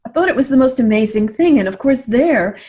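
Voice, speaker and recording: An older woman telling personal stories conversationally in an interview, in a reflective and expressive way, voicing her own thoughts as she goes. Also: sits high, brisk. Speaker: podcast guest, writer and radio drama producer. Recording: dull band-limited lo-fi call audio.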